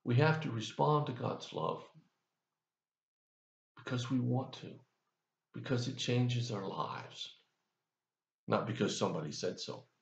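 A man's voice: medium pitch, lively intonation, unhurried, gravelly, long pauses, echoey room. An older man giving a folksy, conversational devotional as a pastor, asking the listener questions, recorded on a webcam in his office. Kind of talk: devotional sermon. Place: office, indoors, seated in leather chair.

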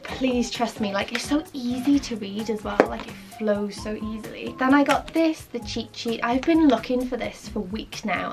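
A young woman chatting excitedly in a casual shopping haul from her bedroom. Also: fairly high pitch. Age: young adult. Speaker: vlogger. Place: pink bedroom indoors. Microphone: camera on a stand, sitting close. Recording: music playing under voice. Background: background music.

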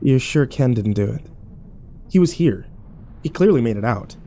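A man's voice: mid-range voice, expressive, normal speed, dark tone, close to an everyday voice. A man playing a weary, sarcastic young survivor who narrates and talks in an informal first-person audio drama. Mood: tense, sarcastic. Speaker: actor and narrator.

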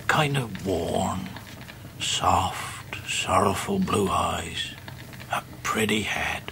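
A man's voice: sits low, creaky, pushed and bright, a little hiss or hum, heavily acted, low-quality recording. An actor playing a hearty, humble old countryman with a rustic English accent and folksy storytelling in a radio drama. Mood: tender, wistful. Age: older. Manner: folksy dialect, chuckling storyteller. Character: hale grey-haired old countryman, hearty, humble and warm. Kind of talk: 1990s radio drama acting.

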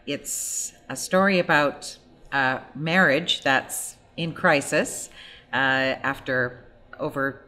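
A woman's voice: extremely low pitch, takes it slowly, sharp tone. A middle-aged woman, a stage actress, speaking in an emphatic, conversational way in a promotional interview. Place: indoor theatre set.

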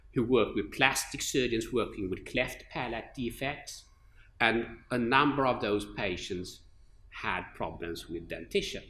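A middle-aged man from Sweden with a Swedish accent, an orthopedic surgeon giving a conference lecture at a podium microphone, relaxed, with many fillers and restarts. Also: lowish voice, a little hiss or hum. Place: large lecture hall with an audience. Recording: echoey lecture hall.

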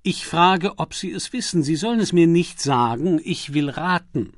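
An audiobook gentleman detective played by a man, aristocratic and breezy. He teases and exclaims playfully, in a raised voice recorded very dry. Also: fairly high pitch, animated, normal speed, dry recording, voice pushed up, lightly acted. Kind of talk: audiobook character acting.

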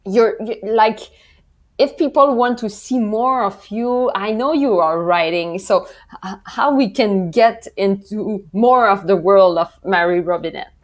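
A woman's voice: mid-range voice, unhurried. A woman in her thirties hosting a casual interview, smiling and enthusiastic as she talks. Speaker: podcast host. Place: indoors, video call. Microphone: wired earphone mic.

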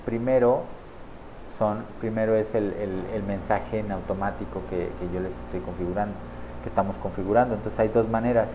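A man with a fairly low voice talks through a screen-share tutorial at his desk, relaxed and conversational, pausing often to check that viewers follow. The audio is a bit dull with a faint hum of the room behind him. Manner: casual, talks viewers through clicks with frequent "¿vale?" and "¿sale?" checks. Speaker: online marketing tutor. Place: small indoor room at a desk.

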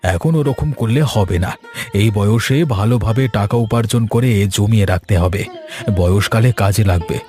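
A man voicing a weary, prudent village vegetable seller talking to his wife in a cartoon, homely and unhurried. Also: on the low side, dark tone, soft background music, close to an everyday voice. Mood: calm, practical. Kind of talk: Bengali cartoon story dialogue.

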